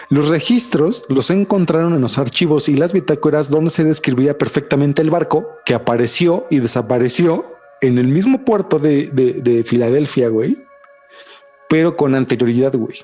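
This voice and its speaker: A young Mexican man co-hosting a podcast in casual chat, slangy and animated, saying "güey" every few words. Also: fairly high pitch. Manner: slangy, says "güey" constantly, animated storytelling. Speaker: podcast co-host.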